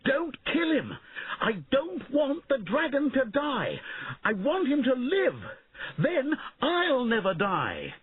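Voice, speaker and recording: A man voicing a proud, reckless young king who shouts his orders in a high, strained voice, heard over tinny phone-like audio. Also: wildly swooping pitch, takes it slowly, slightly rough, heavily acted. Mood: desperate.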